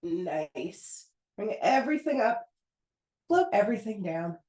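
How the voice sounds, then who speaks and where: A pilates instructor who is getting over a cold and sounds hoarse, calmly talking a class through each move in an encouraging way. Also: female voice, medium pitch, takes it slowly. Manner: calm, encouraging step-by-step cueing. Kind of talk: guided pilates class cues. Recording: roomy echo.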